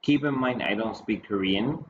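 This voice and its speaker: A man giving a conference presentation, explaining points in a halting way. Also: fairly low pitch. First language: Spanish or English, bilingual. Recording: dull lo-fi audio, clear background noise.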